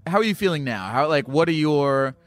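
A male podcast host chatting casually with callers. He stumbles through his questions, restarting and piling up fillers before landing on the point. Soft music plays faintly underneath. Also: a little high, lively intonation, no echo. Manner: halting, stammering questions with many restarts. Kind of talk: casual call-in chat.

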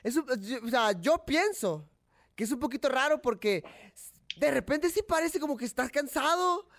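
A young man hosting a casual fight-sports talk show, animated and chatty, often restarting his sentences. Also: very high-pitched, normal speed. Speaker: host.

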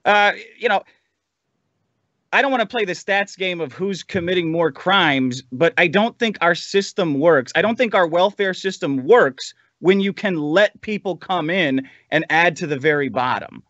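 Bright, forceful male podcast panelist. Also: high voice, lively intonation, measured pace, no room sound, lo-fi sound. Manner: opinionated, casually profane. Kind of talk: casual political debate.

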